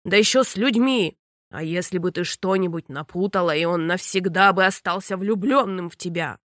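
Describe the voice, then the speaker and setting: A woman voicing a stern, blustering sea king father who scolds slowly and heavily. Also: fairly bright tone, dry recording, studio quality, lightly acted. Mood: angry scolding. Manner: booming, scolding, drawn-out.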